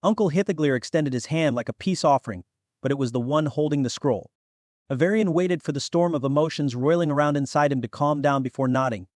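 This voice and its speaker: A man's voice: on the high side, brisk, darkish tone, no room sound, studio quality. A man reading scripted fantasy fiction as an audiobook narrator.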